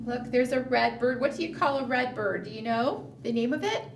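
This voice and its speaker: A middle-aged woman leading a preschool storytime, animated and sing-song, coaxing small children with questions. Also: a little high, a little fast. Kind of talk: storytime read-aloud and chat addressed to small children. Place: small indoor room, seated at a table. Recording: roomy, distant mic, faint room noise.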